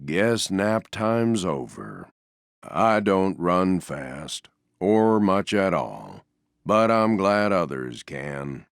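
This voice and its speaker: A man voicing a gloomy, resigned stuffed donkey in a video game, with a deep, gravelly, mournful drone and a gentle, self-effacing manner. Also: sing-song, drawn-out words, no echo, clean studio sound, heavily acted. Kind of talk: video game character voice lines.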